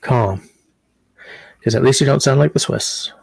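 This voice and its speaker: A man's voice: mid-range voice, a little slow, vocal fry, darkish tone, husky, no echo. A man hosting a casual livestream, meandering as he reads out chat comments. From Canada. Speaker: livestream host.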